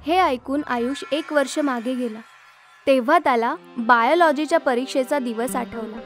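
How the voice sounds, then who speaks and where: A woman's voice: high-pitched, normal speed, faint music behind. A woman narrating a scripted horror story.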